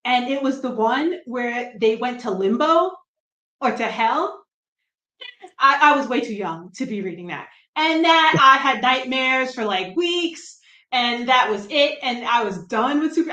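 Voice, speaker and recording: Middle-aged woman, light Brooklyn accent, chatty guest on a video call, higher voice, roomy echo. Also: animated, measured pace, sharp tone. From New Jersey. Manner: chatty, self-deprecating, laughs often. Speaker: guest comic writer. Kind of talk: casual interview chat. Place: room at home.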